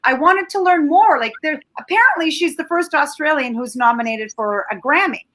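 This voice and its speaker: A woman from Canada whose first language is Ukrainian, giving candid, animated opinions as a film critic. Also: high-pitched, moderate pace. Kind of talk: casual film review chat.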